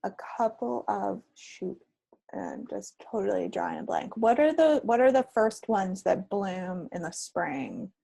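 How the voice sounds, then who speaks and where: Female podcast co-host: husky with plenty of fry, wry and playful in casual chat. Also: medium pitch, big pitch swings, measured pace, dark tone, dry recording.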